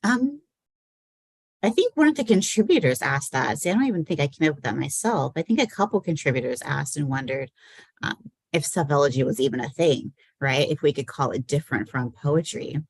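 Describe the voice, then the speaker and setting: A woman's voice: low voice, expressive, fairly quick, breathy edge, no echo. A woman poet answering questions about her work in an informal, musing, self-questioning way. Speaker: poet and anthology editor, guest. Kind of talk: poetry reading and conversational Q&A.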